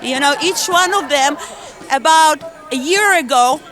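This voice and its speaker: A woman's voice: sits high, unhurried, fairly bright tone, a faint crowd, rough recording. An older woman from Israel, interviewed as a passerby on a city street, speaking in an outspoken, indignant way.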